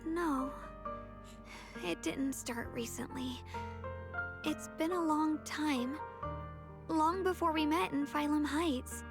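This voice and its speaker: Gentle anime game girl: high, youthful, earnest and hesitant, with music underneath. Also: slow, vocal fry, long pauses, exaggerated voice. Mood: ashamed. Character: gentle, apologetic girl hiding a worsening secret. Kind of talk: anime video game voice acting.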